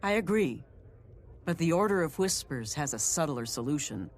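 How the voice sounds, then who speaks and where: An actor, a man, playing a composed, watchful secret agent in a video game, speaking in a measured way. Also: fairly high pitch, expressive. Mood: composed, firm.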